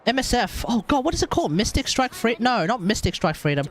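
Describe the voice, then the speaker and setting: A man chatting casually and excitably on a gaming livestream, rambling and correcting himself as he goes. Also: very high-pitched, fast talker. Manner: animated, rambling, exclamatory, self-correcting. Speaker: live streamer.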